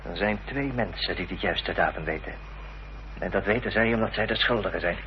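An actor playing a determined gentleman investigator, formal and reasoning, in a period radio drama. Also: low-pitched, a little fast, lightly acted. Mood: earnest, reasoning. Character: earnest, determined gentleman investigator in Victorian mystery. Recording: dull, noisy, humming old radio recording.

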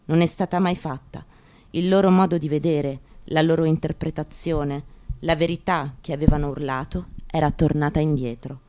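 A woman reading literary prose dramatically, in deliberate, emphatic short phrases. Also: low voice, a little mellow. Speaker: stage actress reading from a book. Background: music behind the voice.

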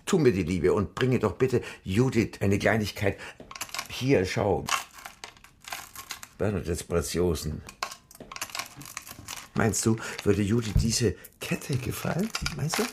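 A man's voice: lowish voice, expressive, slow pace, long pauses, background noise. A courtly dying old man. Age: older. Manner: formal, old-fashioned, fawning. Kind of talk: radio play acting.

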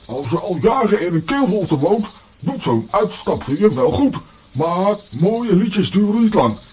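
Kids' audio play, runaway bodiless voice: highish, sassy grumbler, stubbornly honest. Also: male voice, big pitch swings, unhurried, a little mellow, a little hiss or hum. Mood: cheeky, grumbling. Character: cheeky, stubborn disembodied male voice, grumbling and honest. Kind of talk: children's audio drama acting.

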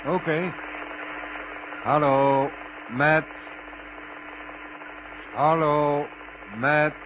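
An eager mission-control operator in an old radio drama. His voice sits fairly high and he speaks respectfully, on a dull recording with a clear hum of noise. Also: fairly even intonation, extremely slow. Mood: trying, doubtful. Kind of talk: 1970 Dutch radio play acting.

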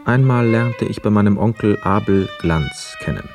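An actor playing a brooding young hotel guest, narrating a literary radio play in a reflective, measured, sombre manner. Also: male voice, on the low side, over music, lightly acted. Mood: observant, detached.

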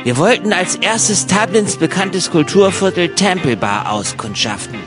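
An eager young emigrant played by a man in a radio play, with a rather high voice over clearly audible music. He is chatty and practical, nudging things along with mild exasperation. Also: animated, unhurried. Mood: narrating. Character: eager, practical young German emigrant narrating his travels. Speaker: narrator and actor in radio play. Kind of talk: radio play narration and dialogue.

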